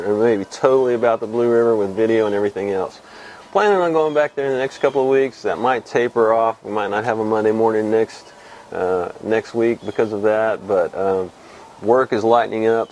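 A man in his fifties from Texas hosts a laid-back fly fishing report, talking slowly with frequent pauses. His voice sits low. Faint outdoor ambience hums behind his lapel mic. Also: a little hiss or hum, rough recording. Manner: relaxed and rambling, many uh and um pauses. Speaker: fly fishing show host. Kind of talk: casual weekly fishing report to camera. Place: outdoors under a shed with corrugated metal wall.

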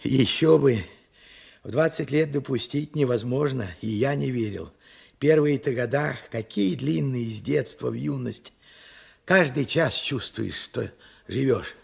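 Radio drama elder, late seventies: husky, heavy creak, reflective, with swooping intonation. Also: male voice, medium pitch, full character voice. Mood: reflective, urgent. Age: 70s. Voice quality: gravelly, husky. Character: weary, frank 78-year-old Russian worker recalling his life. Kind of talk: Soviet radio drama acting.

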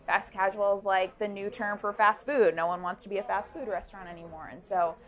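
Young female founder, lapel-miked at a busy event; thoughtful, halting delivery with frequent ums. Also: mid-range voice, fairly even intonation. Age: young adult. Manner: thoughtful, many ums and restarts. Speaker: startup founder. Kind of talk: interview answers. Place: busy indoor networking event. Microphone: lapel mic.

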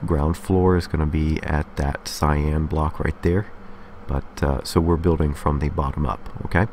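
A man's voice: extremely low pitch, steady tone, measured pace, darkish tone, a little hiss or hum. A man hosting a casual game building tutorial, explaining methodically step by step and often checking in with a short okay. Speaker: tutorial host.

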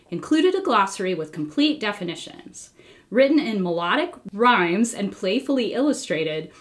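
A middle-aged American woman reading a children's book aloud in an expressive, deliberate way. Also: mid-range voice. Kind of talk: read-aloud storytelling, scripted. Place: small indoor room, wall covered in papers.

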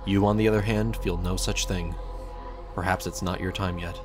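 Low-voiced man narrating a story over music. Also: fairly even intonation, normal speed. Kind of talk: scripted narration of fan fiction in second person.

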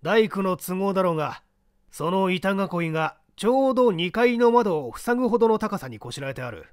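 Man playing a calm lecturing older gentleman. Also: high-pitched, moderate pace, clean tone, no room sound, clean studio sound, voice pushed up. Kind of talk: dramatic mystery reading.

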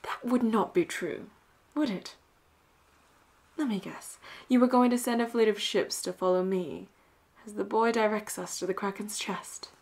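Woman acting as a flirty bossy female pirate captain, roleplay audio, slow teasing delivery, breathy and kinda whispery, very sure of herself. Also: medium pitch, natural voice. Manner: teasing, breathy, often dropping toward a whisper. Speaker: voice actor.

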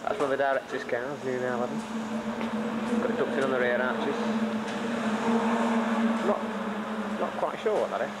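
A man's voice: mid-range voice, normal speed, pauses often. A young man from the United Kingdom giving a casual, chatty commentary while filming a tour of a car workshop on a camcorder. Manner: chatty, pointing things out with dry humour. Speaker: visitor filming a factory tour. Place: large indoor car workshop. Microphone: handheld camcorder mic. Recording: loud workshop noise behind. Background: workshop and engine noise.

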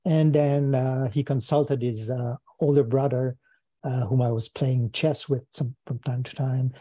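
An older man from Quebec with a Quebec French accent, a native French speaker, answering interview questions over a video call from his study, thoughtful and halting, often repeating words. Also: medium pitch, steady tone, warm tone, no room sound. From Quebec, Canada. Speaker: guest philosopher. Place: home study with bookshelves. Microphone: earphone mic on video call.

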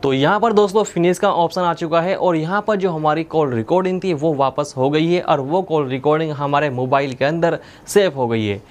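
Higher-pitched young man giving a tutorial. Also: fairly quick, hardly any pauses. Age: young adult. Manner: instructional, repeats points methodically. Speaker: tech tutorial presenter. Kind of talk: step-by-step tutorial narration. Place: indoor studio with plain blue backdrop.

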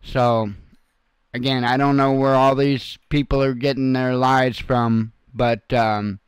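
Guy doing off-the-cuff news commentary, blunt and folksy, smooth clear voice, dry close mic. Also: medium pitch, little pitch movement, takes it slowly, no echo. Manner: blunt, folksy, salty language. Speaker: host of an online news commentary channel. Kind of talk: informal unscripted news commentary.

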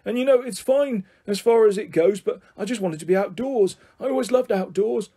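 A man's voice: sits high, normal speed, dark tone, slightly rough, voice pushed up. A man voicing a muddled, forgetful old grandfather in a children's storybook, rambling through eccentric notions. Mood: muddled, wistful.

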